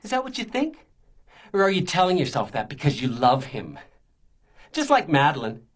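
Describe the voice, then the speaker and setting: An actor playing a menacing, self-pitying stalker in a radio crime drama, taunting and insinuating with mock politeness. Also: male voice, on the high side, huge pitch swings, normal speed, breathy edge, heavily acted. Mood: coaxing, threatening.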